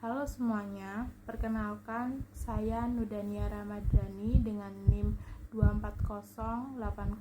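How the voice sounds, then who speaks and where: A woman's voice: mid-range voice, heavy background noise. A young woman gives a formal, careful scripted presentation as a student. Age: young adult.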